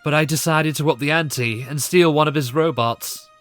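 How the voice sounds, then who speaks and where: A man playing a haunted, fearful Victorian gentleman in an audio drama, speaking gravely and urgently. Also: fairly high pitch, normal speed, natural voice. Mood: grave, regretful. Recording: music bed under the voice.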